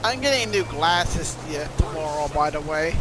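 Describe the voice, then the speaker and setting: A man with a notably high voice rambles and rants over his gameplay, cursing offhandedly. Loud game noise and engine and water sounds sit under his speech, picked up on a desktop mic. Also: expressive, normal speed. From Finland. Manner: ranting, swears offhandedly. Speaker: streamer. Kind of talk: casual gameplay stream commentary.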